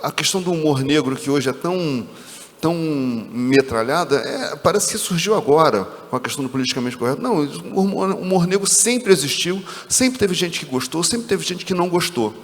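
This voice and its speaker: A man speaking on a conference panel, deliberate and hesitant, repeating words before making his point. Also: fairly high pitch, lively intonation. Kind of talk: panel discussion. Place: conference stage. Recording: reverberant hall.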